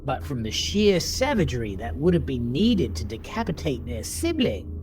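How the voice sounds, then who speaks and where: A man narrating a scripted horror audio drama in a theatrical, deliberate manner. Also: mid-range voice, big pitch swings. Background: music.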